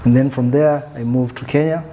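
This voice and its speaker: Soft-spoken man, mellow voice. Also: mid-range voice, steady tone, a little fast, slight background noise. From Tanzania. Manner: soft-spoken, reflective, with frequent fillers. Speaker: guest, country manager. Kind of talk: conversational interview.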